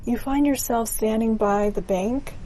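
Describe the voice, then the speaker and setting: A woman narrating a scripted guided meditation in a gentle, soothing, unhurried manner. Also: fairly high pitch, a little hiss or hum.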